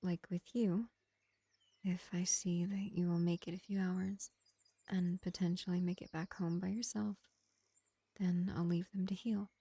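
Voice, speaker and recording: A woman voicing a kindly vampire field medic in a soothing, unhurried, whispery roleplay addressed to the listener. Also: lowish voice, flat delivery, dark tone, slightly rough, dry recording. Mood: reassuring, regretful. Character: gentle, kindly vampire field medic tending a wounded elf.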